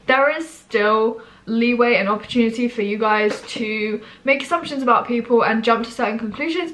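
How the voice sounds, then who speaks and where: A woman in her twenties from Britain, croaky and hoarse from a cold, chatting to camera in a rambling, casual way. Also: fairly high pitch, a bit bright, low-quality recording. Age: 20s. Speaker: vlogger.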